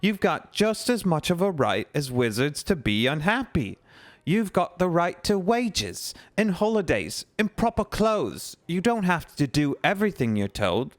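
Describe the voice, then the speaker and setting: A man voicing a bossy, earnest teenage schoolgirl who speaks brisk and severe. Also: a little high, big pitch swings, a bit bright, voice pushed up. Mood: exasperated.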